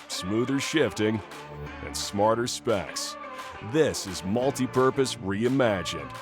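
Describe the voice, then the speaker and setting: A man narrating a scripted commercial voice-over with a punchy, emphatic delivery. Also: fairly low pitch. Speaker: promo narrator.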